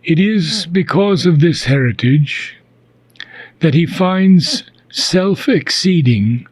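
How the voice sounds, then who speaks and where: A man with a husky voice reads philosophical prose aloud as an audiobook, solemn and deliberate, pausing between short phrases. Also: a little high, darkish tone, dry recording. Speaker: reader of a philosophy book. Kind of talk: slow, measured audiobook reading of philosophical prose.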